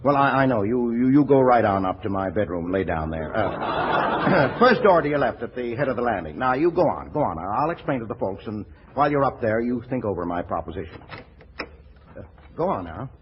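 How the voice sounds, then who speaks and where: Brash, lively racketeer boss on old radio, played by a man. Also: medium pitch, normal speed, lightly acted. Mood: glib, hustling. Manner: stammering, slangy, ungrammatical tough-guy talk. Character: brash middle-aged ex-racketeer turned brewery boss, streetwise, wheedling. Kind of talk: 1940s radio comedy acting. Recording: dull, noisy 1940s radio broadcast.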